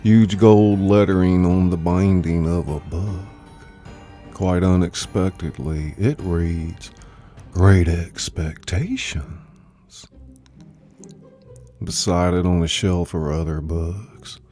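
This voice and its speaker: A man's voice: low-pitched, steady tone, takes it slowly, dark tone. A man hosting and narrating a dramatized live audiobook reading, with music playing behind him.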